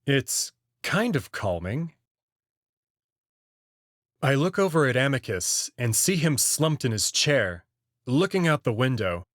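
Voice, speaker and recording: A man narrating a first-person sci-fi story in a slow, measured reading. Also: mid-range voice, big pitch swings, fairly bright tone, no room sound, studio quality.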